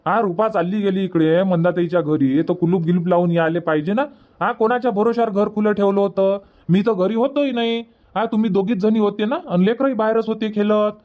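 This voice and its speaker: A man's voice: high voice, a little fast, darkish tone, close to an everyday voice. A man voicing an exasperated village husband in a cartoon comedy, insistent and repetitive, with a Varhadi Marathi accent. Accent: Varhadi Marathi from Vidarbha.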